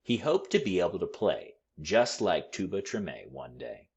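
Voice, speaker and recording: A man reading a children's story aloud with expressive, deliberate, dramatic phrasing. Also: low voice, highs cut off. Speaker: storybook read-aloud reader.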